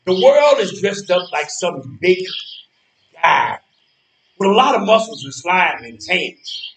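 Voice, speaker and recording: A man acting out a bitter older fellow on a park bench in a stage play. His voice sits high for a man, and he lays out menace slowly and folksily. It sounds roomy and set back from the microphone. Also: big pitch swings, fairly bright tone, heavily acted. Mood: menacing. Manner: slow deliberate threats, folksy colloquial phrasing. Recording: roomy, echoey, distant from microphone.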